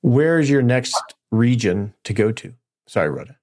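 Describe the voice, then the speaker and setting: A man's voice: medium pitch, animated, moderate pace, a little mellow. An American man hosting a podcast, asking questions in a conversational interview style. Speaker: podcast host interviewing guests.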